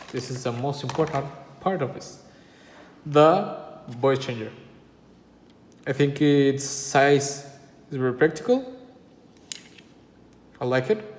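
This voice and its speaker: A man talks slowly through a casual product review in halting phrases. His voice rings with long reverb in an echoey room, over faint background noise. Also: medium pitch.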